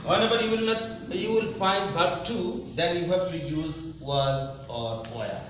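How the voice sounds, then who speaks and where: A man with a fairly high-pitched voice gives a classroom-style grammar lesson. He is emphatic and instructional, repeating key phrases. The room echoes strongly, with faint noise behind him. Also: a little slow, dull audio. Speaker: English grammar teacher.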